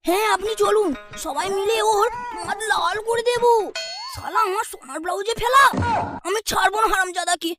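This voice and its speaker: A woman's voice: extremely high pitch, brisk, loud music behind the voice. A woman voicing a hot-headed, vengeful villager in cartoon dialogue. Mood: furious.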